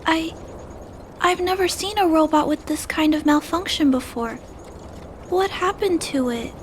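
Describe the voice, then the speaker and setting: A woman playing a gentle, compassionate little girl in a video game, hesitant and soft-hearted as she speaks. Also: very high-pitched, a little slow, a little hiss or hum. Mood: curious, gentle. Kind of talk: video game character acting. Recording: music under the voice.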